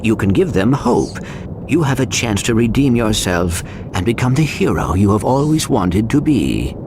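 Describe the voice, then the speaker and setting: An actor playing a small, polite robot sidekick in a video game, speaking precisely and earnestly. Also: male voice, fairly low pitch, sing-song, normal speed, noisy background. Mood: pleading, encouraging. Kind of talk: animated video game cutscene acting.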